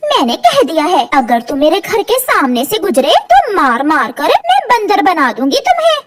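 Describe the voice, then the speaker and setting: Woman as boastful crow wife for a cartoon: very high, shrill, swooping and haughty. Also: huge pitch swings, moderate pace, heavy background noise, full character voice. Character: boastful, bossy, excitable female crow wife.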